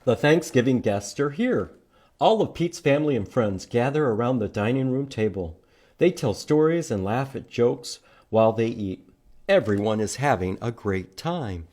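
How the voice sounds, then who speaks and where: A man hosting a children's storytime, reading a picture book and teaching letters in simple, expressive language with questions for young listeners. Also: mid-range voice, moderate pace. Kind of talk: children's storytime read-aloud and letter lesson.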